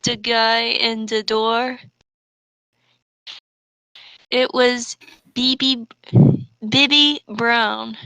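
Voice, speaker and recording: Female co-host for comic reading: higher voice, very unhurried delivery, sarcastic asides. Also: steady tone, pushed and bright, clean tone, no echo, poor audio. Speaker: co-host reading fan fiction aloud. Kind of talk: comedic dramatic reading with mocking asides.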